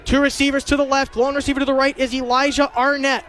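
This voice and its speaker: A man's voice: very high voice, flat delivery, fast, a bit bright, slight background noise. A young man doing live radio play-by-play of a football game in vivid, clipped, detailed phrases. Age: young adult. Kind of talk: live play-by-play sports commentary.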